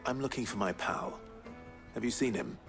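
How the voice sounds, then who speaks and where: An actor playing a brooding, blunt British fantasy hero in a video game, speaking in a terse, serious way. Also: male voice, medium pitch, big pitch swings, normal speed, vocal fry, a bit bright, soft background music. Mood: guarded. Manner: terse, serious, plain-spoken.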